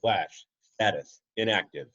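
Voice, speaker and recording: A man's voice: lowish voice, unhurried, fairly bright tone. A man playing an impassive surveillance supercomputer in a superhero script, with clipped, formal, emotionless delivery. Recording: dull lo-fi home recording.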